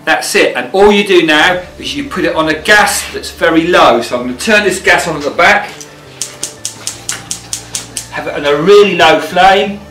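A man's voice: a little high, moderate pace, pushed and bright, far from the mic. A middle-aged man giving a casual cooking demonstration as a chef, plain-spoken and informal, recorded indoors in a kitchen. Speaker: chef and cookery teacher. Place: indoor commercial kitchen with steel surfaces. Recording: roomy, off-mic sound with music.

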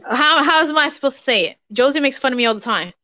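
A woman's voice: high-pitched, expressive, fast talker, pushed and bright. A woman from Puerto Rico with a Puerto Rican accent, co-hosting a live craft session over a video call, chatting casually and poking fun at herself. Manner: chatty, self-mocking, laughs often. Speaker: craft show co-host. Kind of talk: casual chat while crafting. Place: home craft table, indoors.

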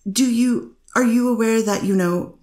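A woman's voice: on the high side, unhurried, a little mellow, roomy sound, lightly acted. A woman reading the part of a defense attorney cross-examining a witness, probing with frequent fillers. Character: persistent female defense lawyer. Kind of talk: amateur readers theater reading of a court transcript.

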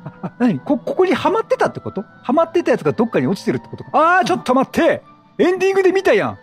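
A man giving chatty, slangy and excitable commentary as he plays a video game. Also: very high voice, rapid-fire, faint music behind. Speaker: let's play commentator.

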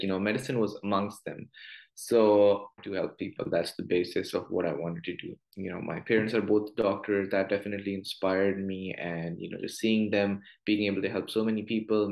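A young man in his twenties with a Pakistani accent, a doctor answering interview questions on a video call, earnest and full of "you know" fillers. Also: low voice. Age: 20s. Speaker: guest doctor. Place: small room at home. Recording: echoey room on video call.

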